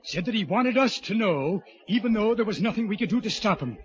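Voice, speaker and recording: Blustery high-voiced police officer. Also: male voice, expressive, fairly quick. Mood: blustery, exasperated. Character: gruff, exasperated male police officer in charge. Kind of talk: 1940s radio acting. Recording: dull vintage 1940s radio recording.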